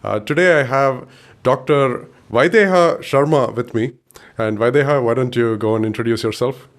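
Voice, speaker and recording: A man's voice: mid-range voice, moderate pace. A man hosting a podcast, asking questions in a casual way with some fillers. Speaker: podcast host. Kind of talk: podcast interview.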